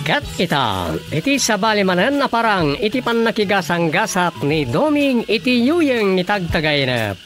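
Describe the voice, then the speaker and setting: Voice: medium pitch, big pitch swings, moderate pace, few pauses. An announcer introducing a radio drama in an emphatic, theatrical style. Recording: music behind the voice. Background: theme music.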